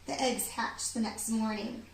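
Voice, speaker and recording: A woman reading a children's storybook aloud in an expressive, deliberate storytelling manner. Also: on the high side, gravelly. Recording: echoey room, distant mic, background noise.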